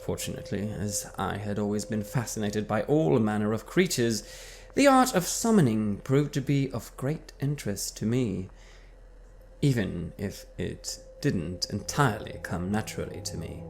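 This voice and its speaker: A man reading his tabletop character's backstory aloud in the first person with a measured storytelling cadence. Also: on the low side, animated, creaky, a little hiss or hum. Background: faint background music.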